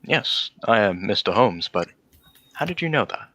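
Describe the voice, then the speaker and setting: An actor playing a shrewd, confident gentleman detective in old-style radio drama, politely explaining himself in an assured manner. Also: fairly low pitch, sing-song, moderate pace, heavily acted. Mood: courteous. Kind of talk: 1940s radio drama acting.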